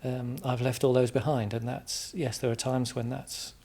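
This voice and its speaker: A man's voice: medium pitch, level tone, moderate pace, warm tone. Hesitant, reflective English man. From England, Midlands. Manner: hesitant, reflective, repeats words as he explains. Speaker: interview guest. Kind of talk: informal interview answers.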